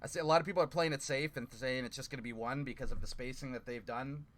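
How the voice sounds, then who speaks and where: A man's voice: medium pitch, fast, a bit bright. A man hosting a casual gaming chat on an online call, rambling with lots of fillers and the odd swear. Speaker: podcast host.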